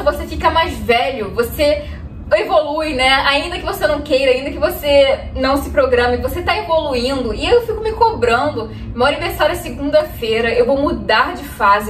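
Woman, twenties, high voice, earnest reflective vlog, soft laughs, roomy echo, distant camera mic. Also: a little hiss or hum. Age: 20s. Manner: earnest, gestures constantly, often laughs softly. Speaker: vlogger. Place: room in front of a bookshelf, indoors. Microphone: camera a few steps away. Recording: echoey room, mic at a distance.

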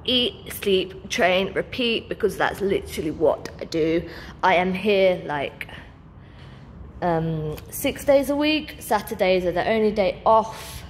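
A woman's voice: mid-range voice, lively intonation, slow pace. Young woman, personal trainer, casual upbeat vlog, phone at arm's length, long gym reverb, faint background hum. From England. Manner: chatty, upbeat, with ums and restarts. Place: large indoor gym. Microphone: phone held at arm's length.

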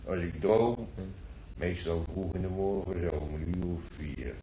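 An actor playing a nostalgic, gruff old war veteran grandfather in a radio drama, telling his memories haltingly, repeating himself in earthy colloquial words. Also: low voice, dark tone. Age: older. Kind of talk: radio drama acting, reminiscing monologue. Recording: roomy old radio play, faint noise.

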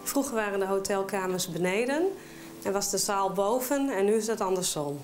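A middle-aged woman, a hotel owner, answering interview questions casually in a hesitant, halting way. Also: mid-range voice, expressive, faint music behind. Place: indoors in a hotel bar and dining room.

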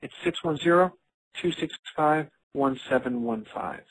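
A man's voice: a little high, a little slow, a bit bright. A man with an American accent making a customer inquiry in a recorded phone call. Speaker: caller.

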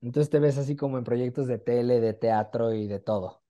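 Mellow young Mexican man hosting. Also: mid-range voice, brisk, dull audio. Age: 20s. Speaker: interviewer, host. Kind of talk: casual video interview. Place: small room at home, indoors. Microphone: video call with wired earphones.

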